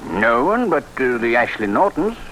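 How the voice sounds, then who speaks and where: Apologetic English householder, British accent, stammering politeness, mid-range but very varied pitch, radio drama. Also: male voice, very animated, moderate pace, fairly bright tone. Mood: nervous, apologetic. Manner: hesitant, fussy, stammering. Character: nervous, apologetic gentleman householder. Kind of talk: old-time radio drama acting. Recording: noisy vintage radio recording.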